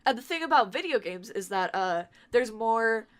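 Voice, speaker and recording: A woman's voice: on the high side, lively intonation. Talkative woman in a casual interview. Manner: talkative, quick to laugh. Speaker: podcast guest from a fan dubbing group.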